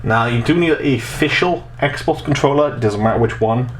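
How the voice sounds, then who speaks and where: A man's voice: mid-range voice, moderate pace. A man in his twenties giving a casual step-by-step tech tutorial, rambling and often repeating his phrases. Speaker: host of a tech tutorial channel. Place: small room at home, indoors. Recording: noticeable background noise.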